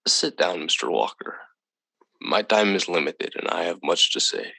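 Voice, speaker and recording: A man acting as a calm, condescending alien visitor in a radio drama, speaking in formal, measured phrases. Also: deep voice, creaky, no room sound, heavily acted, rough recording. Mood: composed, formal. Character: calm, superior alien visitor from another planet.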